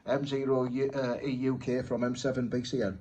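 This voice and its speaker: A man chatting as an amateur radio operator, speaking in short, halting pieces. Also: mid-range voice, level tone, warm tone, slight background noise. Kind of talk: unscripted ham radio contact chat.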